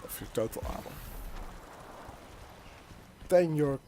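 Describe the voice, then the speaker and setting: A man's voice: on the high side, animated, slow pace, warm tone. A young Dutch man with a Dutch accent, speaking English as a second language, giving rambling, hesitant commentary over his gameplay footage. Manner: rambling, hesitant, many restarts. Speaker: gaming YouTuber. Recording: game gunfire and noise behind.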